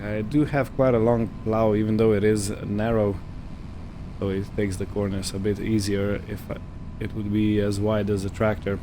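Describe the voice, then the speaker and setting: A man giving casual roleplay gameplay commentary, unhurried and thinking aloud as he goes. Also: fairly low pitch, flat delivery, background noise. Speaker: gaming video host.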